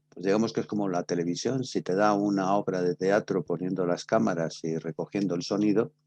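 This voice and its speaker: An older man with a Castilian Spanish accent giving an introductory lecture as a teacher, speaking in short, halting phrases. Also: low-pitched, a little mellow, dry recording. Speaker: teacher giving a radio theatre workshop.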